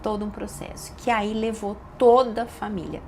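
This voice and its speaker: A woman's voice: mid-range voice, steady tone, normal speed, a little mellow. A middle-aged woman with a Brazilian Portuguese accent, a doctor and podcast guest, giving a personal testimony with emotional, emphatic repetitions. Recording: audible background noise.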